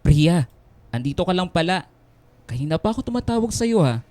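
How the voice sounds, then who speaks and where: An actor playing an insecure, devoted young boyfriend in a radio drama, speaking earnestly and hesitantly. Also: male voice, high voice, sing-song, brisk, darkish tone. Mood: eager, concerned. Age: young adult. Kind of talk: Filipino radio drama dialogue. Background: background music.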